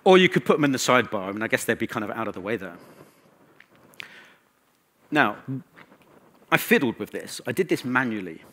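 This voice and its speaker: A man's voice: medium pitch, big pitch swings, fairly quick, pauses often, a bit bright. A man speaking as a conference presenter, informal and conversational, with wry asides. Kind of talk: conference talk.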